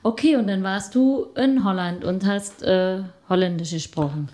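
A woman's voice: medium pitch, normal speed, dark tone. A woman hosting a casual conversation, responding with affirming interjections.

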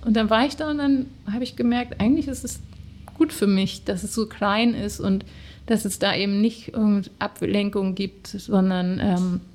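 A woman's voice: mid-range voice, steady tone, moderate pace, darkish tone. Hesitant German woman telling stories. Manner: hesitant storytelling, many fillers. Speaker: guest. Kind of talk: casual conversation.

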